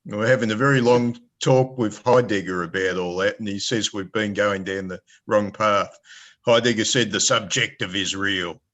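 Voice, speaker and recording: A man's voice: lowish voice, steady tone, moderate pace, a bit bright. A man in a group book discussion speaking reflectively and philosophically, with hesitant restarts.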